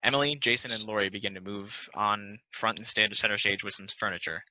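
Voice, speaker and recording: A man's voice: fairly low pitch, a little fast, pushed and bright, no room sound. A man reading stage directions plainly for an amateur table read, on a dull lo-fi recording.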